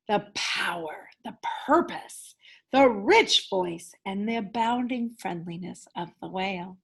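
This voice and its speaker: High-voiced woman reading a children's story. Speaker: teacher reading a picture book aloud. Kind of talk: expressive children's story narration.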